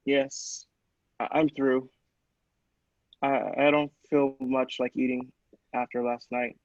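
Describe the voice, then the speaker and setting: A man's voice: medium pitch, flat delivery, slow, no room sound, muffled recording. A man playing an earnest, shaken doctor in a radio play, agreeable and hesitant, with dramatic stammers. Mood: uneasy, puzzled. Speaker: podcast actor.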